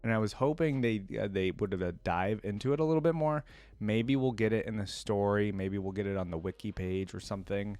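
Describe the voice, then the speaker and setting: Low-voiced man reacting and reading aloud. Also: fairly quick, a little mellow, no echo. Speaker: reaction video host. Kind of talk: casual reading aloud with running commentary.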